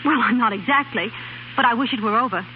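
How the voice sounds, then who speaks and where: An actress playing an anxious but stubborn young wife in a 1930s radio drama. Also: female voice, fairly high pitch, fast, lightly acted. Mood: uneasy, reserved. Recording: muffled, noisy 1930s radio recording.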